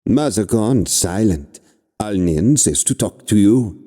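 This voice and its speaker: A chittering insect-headed demon, put on by a man, speaking in a German accent in short, clipped phrases. The voice is lively, higher than the man's own and pushed louder. A long reverb trails behind each phrase. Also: slow. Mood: probing.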